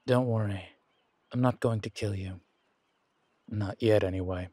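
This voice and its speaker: A man playing a menacing, wry pirate captain in a roleplay audio, speaking in a taunting, deliberate drawl with dramatic pauses. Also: on the low side, mellow, no echo. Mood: wry menace. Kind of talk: scripted roleplay audio acting.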